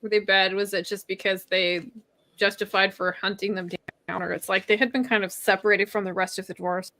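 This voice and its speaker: A woman's voice: mid-range voice, fairly even intonation, a little fast, bright, forceful tone, dry recording, soft background music. A woman hosting a casual book discussion in an informal, reactive way, peppering her talk with "like". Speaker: host.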